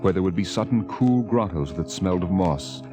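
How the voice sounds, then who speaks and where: A man narrating a 1950s radio drama as host, with measured, dramatic, literary delivery. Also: deep voice, expressive. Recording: vintage 1950 radio recording. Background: music.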